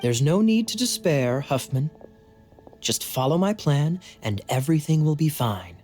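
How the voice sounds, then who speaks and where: A man's voice: a little high, sing-song, unhurried, darkish tone, soft background music. An actor playing a suave, sly young captain in a video game, self-assured and coy in his delivery. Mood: sly, confident. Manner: smooth, coy, insinuating. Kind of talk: video game character acting.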